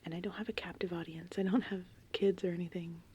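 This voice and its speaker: Woman in her 30s doing whispery asmr rambles, lowish soft breathy voice, gentle rain and storm noise behind. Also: fast. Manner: rambling, informal. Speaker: ASMR channel host. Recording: steady rain and thunderstorm ambience behind. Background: gentle rain and thunder.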